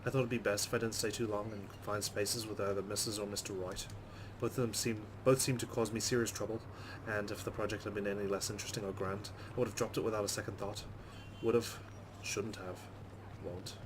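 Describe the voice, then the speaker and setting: A man's voice: fairly low pitch, little pitch movement, brisk, background noise. A man hosting a let's play, reading game narration aloud dramatically and adding muttered, sweary asides. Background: game music.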